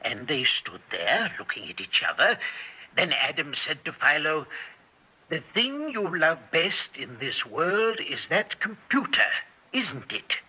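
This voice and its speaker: An actor playing an earnest, agitated academic witness in an American radio drama, formal and precise with an American accent. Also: male voice, fairly high pitch, sing-song, slow, sharp tone, slightly rough, exaggerated voice. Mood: tense, recounting. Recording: dull, band-limited old radio recording.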